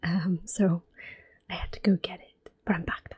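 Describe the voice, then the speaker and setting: A woman giving gentle, unhurried ASMR gameplay commentary in a soft, whispered voice, reassuring and close to the microphone. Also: on the low side, little pitch movement, breathy edge, faint music behind. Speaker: ASMR gaming host.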